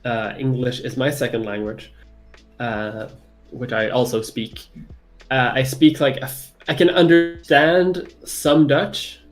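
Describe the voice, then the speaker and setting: A man whose first language is Swedish co-hosts a casual livestream, speaking in a middle-pitched voice. He rambles loosely and swears freely, with faint music playing behind him. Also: fairly even intonation, slow.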